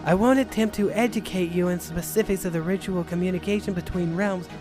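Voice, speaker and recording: Guy doing a high girly voice, earnest little priestess, very proper and stubborn, storybook style, music behind. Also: fairly even intonation, brisk, natural voice. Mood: lecturing. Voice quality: high-pitched put-on girlish voice. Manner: formal, wordy, protesting. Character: earnest young girl priestess, polite and stubborn. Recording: background music under the voice.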